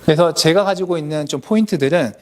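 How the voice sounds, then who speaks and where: Man giving a dentistry lecture, high voice. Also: fast. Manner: conversational, explains cases to colleagues. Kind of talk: symposium lecture. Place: lecture hall at a symposium.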